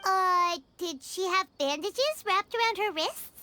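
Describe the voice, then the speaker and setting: A woman voicing a small, excitable, childlike fairy companion in a video game, with a squeaky voice, chatty and dramatic with lots of exclamations. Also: measured pace, no echo, heavily acted. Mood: sly. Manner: exclamatory, speaks of herself in third person. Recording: music behind the voice.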